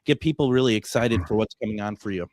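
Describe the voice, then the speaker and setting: A man's voice: lowish voice, fast talker, a little mellow, a little hiss or hum. A man, hosting a radio talk show, chatting casually and reading his own ads, restarting often with "uh".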